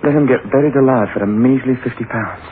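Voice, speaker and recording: Guy acting distraught young man, guilty n pleading, medium pitch, hissy muffled old radio drama. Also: quick pace, natural voice. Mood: guilty, anguished. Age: young adult. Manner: earnest, anguished, pleading.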